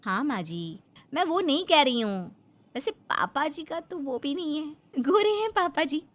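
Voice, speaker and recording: A woman voicing a blunt, sharp-tongued young daughter-in-law in a comic cartoon story, brazen and mocking. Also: sits high, very animated, unhurried, music in the background.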